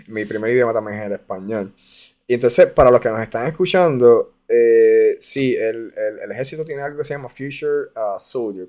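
A man's voice: on the low side, darkish tone. A man from hosting an interview, explaining points for listeners and laughing easily. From Puerto Rico. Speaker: podcast host. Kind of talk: casual interview.

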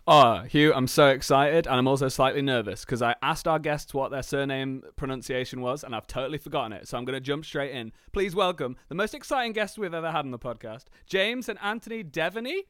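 A man co-hosting a podcast, excitable and quick to laugh. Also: medium pitch, clean tone, no echo, clean studio sound. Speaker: podcast co-host. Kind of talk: podcast chat.

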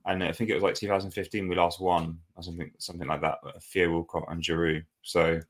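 Brit guy co-hosting a footy podcast, deep voice, lots of ums and restarts. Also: fast. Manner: relaxed, rambling with fillers and restarts. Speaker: podcast co-host. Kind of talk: casual football chat.

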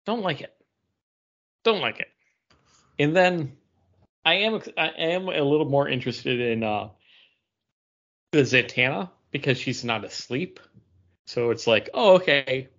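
A man's voice: medium pitch, animated, a little slow, pauses often, a bit bright. Man chatting casually, slightly muffled audio. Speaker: comics podcast co-host. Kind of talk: casual discussion. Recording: dull lo-fi audio.

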